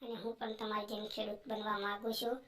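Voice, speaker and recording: A woman's voice: mid-range voice, flat delivery, fast talker, a bit bright, lightly acted. A woman voicing an earnest, poor farm boy in a cartoon story. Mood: stubborn, proud.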